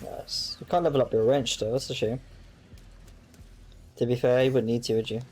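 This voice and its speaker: Young gamer, playful muttering. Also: male voice, mid-range voice, fairly even intonation, normal speed, a little mellow, slight background noise. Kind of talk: let's play commentary.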